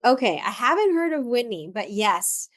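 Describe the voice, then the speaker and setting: A woman's voice: a little high, sing-song, normal speed, a bit bright. A middle-aged woman from the Dominican Republic, a therapist guest telling her career story in a reflective way with many ums and restarts. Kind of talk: conversational interview.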